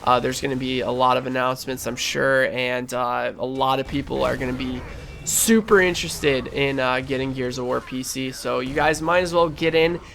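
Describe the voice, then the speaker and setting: A young man commentating over his gameplay in a casual, rambling, enthusiastic way, sounding stuffy and a little hoarse. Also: mid-range voice, steady tone, normal speed, a bit bright, a little hiss or hum. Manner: rambling, enthusiastic, lots of fillers and restarts. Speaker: gaming channel host.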